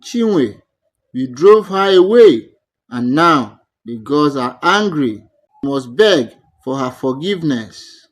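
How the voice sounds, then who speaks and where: A man's voice: a little high, animated, slow. A man voicing a worried village elder in a folktale, humble, hesitant and imploring. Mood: anxious.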